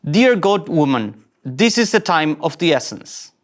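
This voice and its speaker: An actor playing a nervous young male ensign who bluffs as a bold captain in a comic sci-fi table read, halting and self-doubting. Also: medium pitch, sing-song. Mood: forced bravado.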